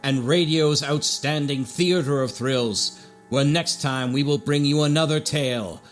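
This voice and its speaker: A man's voice: fairly high pitch, a little slow, soft background music. A man reading scripted credits as a formal, theatrical announcer in the style of an old-time radio suspense show. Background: musical score.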